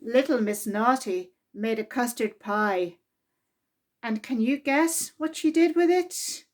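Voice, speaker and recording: A woman reading a children's storybook aloud as a storytime reader, in a deliberate, expressive way meant for young listeners. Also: a little high.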